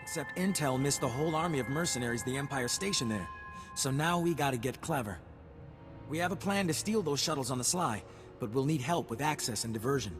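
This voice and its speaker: A man playing a brash, harried squad leader in a video game, talking in an informal, brisk military way. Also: mid-range voice, animated, close to an everyday voice. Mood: brisk briefing. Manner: informal, brisk soldier talk. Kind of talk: video game cutscene acting. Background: game music.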